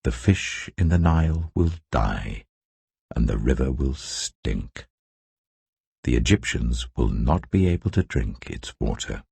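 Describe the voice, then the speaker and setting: Very deep, husky man narrating scripture. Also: animated, takes it slowly, darkish tone, dry recording. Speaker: audio Bible narrator.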